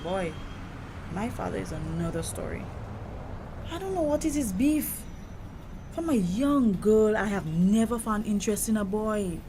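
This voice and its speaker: A proud, wounded young Caribbean woman played by an actress in an audio drama. Her conversational delivery is emphatic and indignant, with a very lively pitch, over noticeable background noise. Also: unhurried, pauses often.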